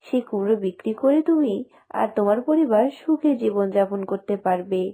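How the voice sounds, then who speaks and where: A woman voicing a kind, gentle flower fairy in a cartoon. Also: mid-range voice, animated, fairly quick, narrow, tinny audio. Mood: gentle, consoling. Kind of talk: cartoon voice acting.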